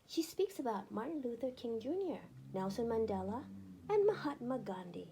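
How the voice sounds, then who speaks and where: A woman narrating a children's book aloud in an expressive, deliberate storytelling manner with emphatic phrasing. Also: on the high side, a little hiss or hum.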